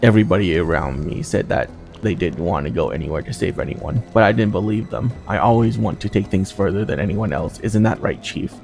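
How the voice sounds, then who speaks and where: Man reading as a bitter, gravelly betrayed fighter. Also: deep voice, fairly quick, few pauses, darkish tone. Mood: bitter, resigned. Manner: accusing, sarcastic, insistent. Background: game music.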